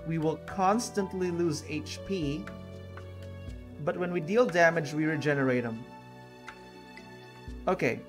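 A man giving casual let's play commentary in a chatty voice-over. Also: fairly high pitch. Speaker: gamer commentating on playthrough. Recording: voice-over above game music. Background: game music.

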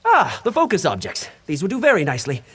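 A man playing an eccentric, excitable, cheerfully careless mage scholar in a fantasy video game, theatrical and given to muttering. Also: sits high, quick pace, husky, heavily acted. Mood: absent-minded, hopeful.

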